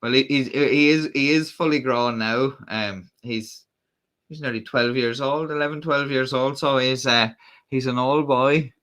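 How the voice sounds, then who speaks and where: An Irish man chats loosely to his livestream viewers, wandering from point to point with plenty of ums and false starts. His voice is bright and forward, sitting at an ordinary middle pitch. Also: steady tone, a little slow. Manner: rambling, many fillers and restarts. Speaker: livestream host. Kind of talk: casual live-stream chat.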